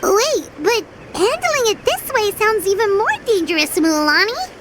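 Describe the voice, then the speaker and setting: A woman voicing a tiny, childlike fairy companion in a video game, squeaky, exclamatory and wide-eyed. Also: sing-song, a little slow, heavy background noise, full character voice. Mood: worried. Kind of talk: cartoonish video game voice acting.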